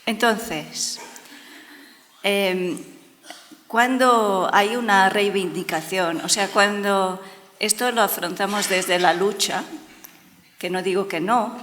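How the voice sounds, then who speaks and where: Brazilian-accented woman, conference speaker, slow measured delivery, earnest and emphatic, long hall reverb. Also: mid-range voice, steady tone, a bit bright, slight background noise. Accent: Brazilian Portuguese. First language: Portuguese. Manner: earnest and emphatic, repeats words for stress. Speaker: education speaker and consultant. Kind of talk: conference talk.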